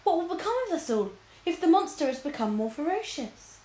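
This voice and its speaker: A woman's voice: high voice, big pitch swings, brisk, warm tone, pitched above the performer's own voice. A woman voicing a frightened, whimpering storybook king with an anxious, hesitant manner, in an echoey room. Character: frightened, gullible, whimpering king, easily flattered.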